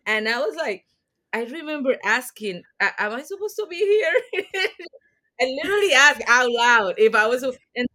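A woman from Colombia, a podcast guest telling stories in a lively way and mixing Spanish and English. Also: sits high, moderate pace. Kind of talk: casual interview chat.

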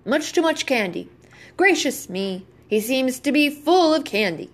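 A woman's voice: high voice, big pitch swings, a little slow, voice pushed up. Woman playing an emphatic, disapproving storybook doctor. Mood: reproving. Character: tall thin older male doctor, gravely reproving.

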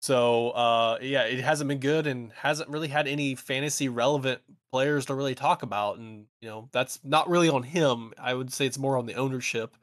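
A man's voice: mid-range voice, fairly bright tone. A man with a mid-range voice co-hosts a sports podcast. He talks casually and often stumbles, repeating words before getting his point out. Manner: halting, repeats words when starting. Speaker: podcast co-host.

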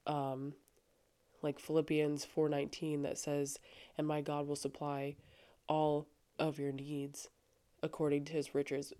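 A young adult woman with a low voice talking earnestly and casually on her faith podcast, with frequent fillers. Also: dark tone. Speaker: podcast host. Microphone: studio microphone.

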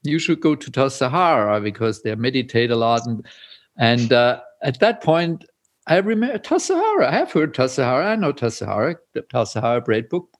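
An older man from Austria with an Austrian German accent, a Zen priest speaking as an interview guest, pausing often with fillers and soft chuckles. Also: medium pitch, sing-song. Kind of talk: reflective interview talk.

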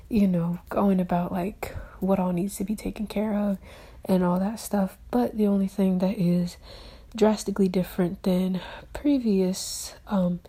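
A woman speaking in a soft, hushed half-whisper, earnestly rambling through a personal video diary and spoken prayer. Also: medium pitch, breathy edge. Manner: earnest, rambling, with many fillers. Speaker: video diarist.

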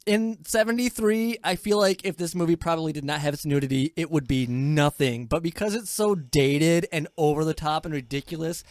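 A woman hosting a casual group movie review, exclamatory, giggly and quick to argue her point. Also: sits low, a little mellow, no room sound. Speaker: movie review show host.

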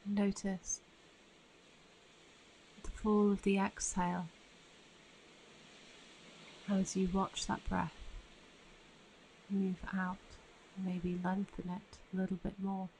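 Slow, even-toned woman guiding meditation. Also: medium pitch, slight background noise, poor audio. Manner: soothing, unhurried with long pauses. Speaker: meditation guide. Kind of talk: guided meditation narration.

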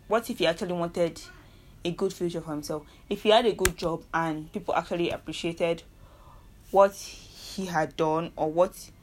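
This is a young woman with a Nigerian accent and a voice pitched a touch low. She talks in a loose, earnest monologue to her listeners. She often doubles back to rephrase herself. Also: steady tone, normal speed, a little hiss or hum. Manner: rambling, self-correcting, earnest and persuasive. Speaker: podcast host. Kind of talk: casual solo monologue.

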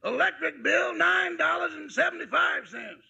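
A household valet in a vintage radio comedy. The voice is strikingly high, heavily gravelled and sharply bright, coming from an old 1950s broadcast. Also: male voice, lively intonation, normal speed, lo-fi sound, exaggerated voice. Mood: matter-of-fact. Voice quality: gravelly, raspy.

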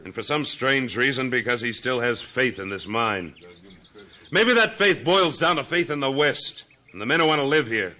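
Western mine boss, steadfast, forceful bright tone, firm challenging delivery, old-time radio drama. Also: male voice, mid-range voice, animated, normal speed. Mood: rousing. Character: steadfast, commanding Western mine foreman and loyal friend.

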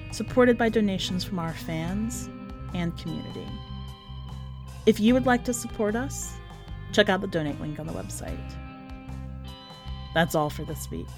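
Female podcast host, medium pitch, informal and conversational, addressing listeners directly. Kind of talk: informal podcast commentary and sign-off.

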